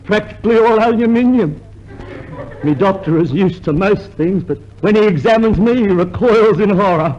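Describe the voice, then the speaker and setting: A man's voice: high voice, normal speed, a little mellow, dull audio, natural voice. An actor playing a chirpy hypochondriac who boasts earnestly of his illnesses, in old radio comedy style. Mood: self-pitying, proud. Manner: earnestly boastful about being ill. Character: chirpy hypochondriac male customer who relishes his ailments. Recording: noisy old radio show recording.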